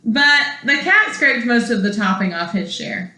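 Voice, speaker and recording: Bright-voiced woman reading a storybook aloud. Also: fairly high pitch, unhurried. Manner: expressive, asks young listeners questions. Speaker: schoolteacher reading to her students. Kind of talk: children's storybook read-aloud. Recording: echoey room.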